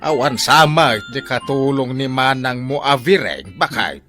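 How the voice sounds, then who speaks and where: A man's voice: mid-range voice, expressive, unhurried, bright, forceful tone, faint music behind. A man playing a nosy, teasing neighbourhood gossip with an Ilocano Filipino accent in a comedy radio drama. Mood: curious.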